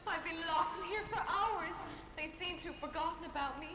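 A woman's voice: very high-pitched, a little fast, over loud music. A young woman playing a sweet captive maiden in a stage pantomime, projecting earnestly. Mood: plaintive, lonely. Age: young adult. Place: theatre stage. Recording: echoey theatre stage recording.

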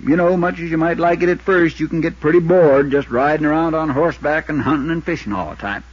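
A folksy small-town Western insurance agent, spinning his account at length with plenty of detours. His voice is a little husky and sits at a middling pitch. It comes through a dull, muffled 1950s radio recording. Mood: patient, storytelling. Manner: folksy, drawn-out storytelling. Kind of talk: 1950s radio drama acting.

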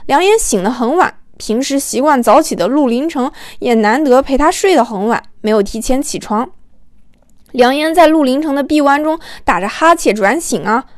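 A woman's voice: sits high, no echo, studio quality. A woman narrating an audiobook in a storytelling style, acting out the dialogue as she goes.

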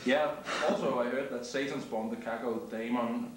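A man's voice: mid-range voice, steady tone, moderate pace, background noise. Brief: young male interviewer, hesitant and filler-heavy, echoey room on a far camera mic. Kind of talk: casual interview questions. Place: cramped backstage room indoors.

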